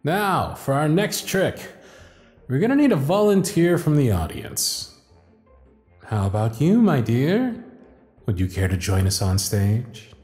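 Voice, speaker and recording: A male actor plays a confident, playful stage magician in an ASMR audio drama. His mid-pitched voice moves with lively swoops through grand showman patter, in a roomy echo. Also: a little slow, faint music behind. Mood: charming. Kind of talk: scripted ASMR audio roleplay.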